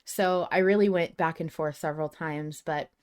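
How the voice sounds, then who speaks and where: A woman hosting a casual podcast discussion, thinking out loud at length with some emphasis. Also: sits low, fairly even intonation, moderate pace, clear, resonant tone. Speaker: podcast host.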